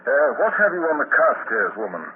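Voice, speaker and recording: A shrewd Scotland Yard inspector who questions people politely, probing with little hesitations and a lively, rising and falling delivery. It plays through a tinny, hissy old radio broadcast. The pitch sits in an ordinary middle range. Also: male voice, moderate pace. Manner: courteous, probing, hesitant fillers. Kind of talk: 1950s radio drama acting.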